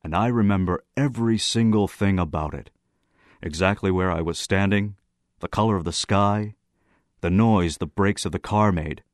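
A man's voice: low-pitched, moderate pace, no room sound, clean studio sound, natural voice. A man playing a serious, authoritative teenage schoolboy who leads an inquiry in an audiobook.

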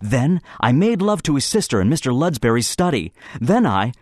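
A man voicing a tense teenage boy who jokes loudly to hide his nerves, strained and offhand. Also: medium pitch, huge pitch swings, takes it slowly, no echo, studio quality, pitched above the performer's own voice. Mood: nervous joking. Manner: strained, offhand, overloud bluster.

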